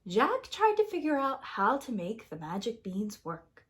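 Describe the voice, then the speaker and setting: A woman reading a storybook aloud as a preschool story-time host, expressive and deliberate for young children. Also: fairly high pitch.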